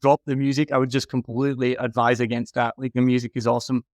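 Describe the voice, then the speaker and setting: A man's voice: medium pitch, flat delivery, fast talker, darkish tone, dry recording. A man giving frank, emphatic advice in a conversational critique, like an audio consultant reviewing someone's work.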